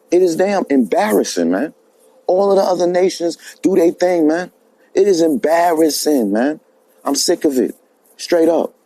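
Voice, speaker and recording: A man's voice: sits high, mellow, dry recording. A man calling in, emphatic and preachy, who says "man" often. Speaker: caller. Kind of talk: casual opinion rant.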